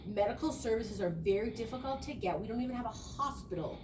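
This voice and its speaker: A woman's voice: a little high, fast. A young adult woman, a student teacher, giving an earnest, conversational spoken reflection and explaining her ideas. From small rural town. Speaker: education student. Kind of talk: spoken reflective class presentation. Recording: echoey room, distant mic, noisy.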